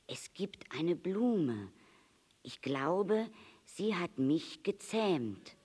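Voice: mid-range voice, big pitch swings, very slow, darkish tone, breathy edge, long reverb tail, pitched above the performer's own voice, full character voice. A storyteller voicing an innocent, questioning little boy prince in a simple, wondering, direct manner. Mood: dreamy, sincere. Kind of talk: storybook character reading.

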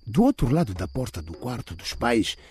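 A man's voice: medium pitch, big pitch swings, moderate pace, darkish tone, slight background noise. A man reading a scripted radio drama as its dramatic narrator. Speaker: audiobook narrator.

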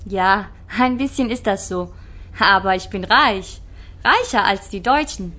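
A woman's voice: medium pitch, measured pace, sharp tone. A woman playing a cheerful young schoolgirl from a Turkish family in Berlin, speaking emphatically in a scripted language-course radio drama. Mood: lively.

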